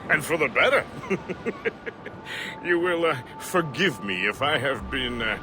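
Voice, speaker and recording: An actor playing a jovial, tipsy middle-aged ship's mate in a video game, wordy and teasing. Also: male voice, a little high, expressive, takes it slowly, music in the background. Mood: merry, tipsy. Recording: outdoor noise behind the voice.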